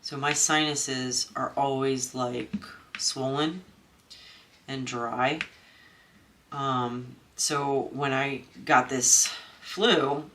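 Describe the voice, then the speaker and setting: Stuffy-nosed woman chatting slowly. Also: very deep voice, fairly even intonation, fairly bright tone, slight background noise. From Maryland, USA. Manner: rambling, many ums and restarts. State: stuffy, congested nose. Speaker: crafting channel host. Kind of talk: casual chat while crafting. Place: at home.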